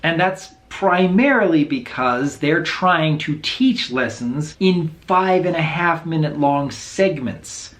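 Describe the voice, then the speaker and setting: A reviewer giving casual, wry commentary on animated episodes. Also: mid-range voice.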